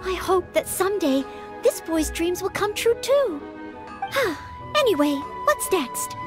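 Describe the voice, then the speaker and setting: A woman voicing a gentle, earnest young maid in a video game, speaking politely and sincerely. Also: very high voice, very animated, slow, music in the background, full character voice. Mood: wistful, cheerful. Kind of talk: video game character dialogue.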